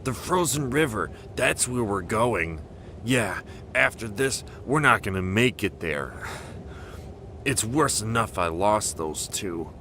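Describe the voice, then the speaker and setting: Man voicing a grumbling low-voiced teen boy, noisy mic. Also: animated, takes it slowly, sharp tone, heavily acted. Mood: bitter, strained. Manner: exasperated, argumentative. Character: grumbling, sarcastic, reluctant male teen adventurer. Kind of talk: amateur script-read voice acting.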